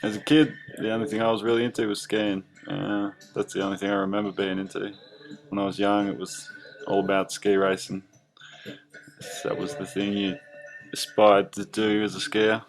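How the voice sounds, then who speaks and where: An Australian man in his young adult years talks in a low, gravelly voice with a fairly flat delivery. He tells his story in a relaxed, rambling way, full of little fillers and restarts. Faint music plays underneath. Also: normal speed, low-quality recording. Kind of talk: casual narration over drawings.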